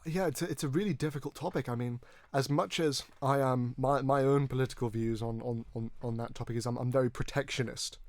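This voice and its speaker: Earnest, halting male podcast host. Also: medium pitch, fairly even intonation, a little mellow, no echo. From Hong Kong. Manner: earnest, long-winded, halting with repeated words. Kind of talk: conversational political discussion.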